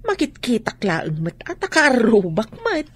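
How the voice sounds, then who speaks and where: A woman playing a nosy, sharp-tongued older mother with a husky voice and a Filipino accent in a radio drama. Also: fairly high pitch, huge pitch swings, unhurried, full character voice. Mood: prying. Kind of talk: Ilocano radio drama acting.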